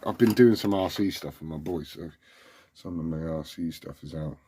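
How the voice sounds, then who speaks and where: A British man with a husky voice rambling informally and swearing as he hosts a casual hobby vlog. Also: very deep voice, normal speed, warm tone. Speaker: CB radio hobbyist video host. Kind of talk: casual rambling chat to camera.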